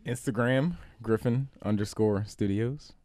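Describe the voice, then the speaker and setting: A man's voice: fairly low pitch, a little slow, darkish tone, no room sound. A man chatting casually as a podcast panelist, on a close mic. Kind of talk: casual group chat.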